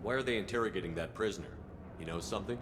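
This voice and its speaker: An actor playing a tough, no-nonsense military commander in a video game, terse and blunt. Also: male voice, low-pitched, racing, background noise, close to an everyday voice.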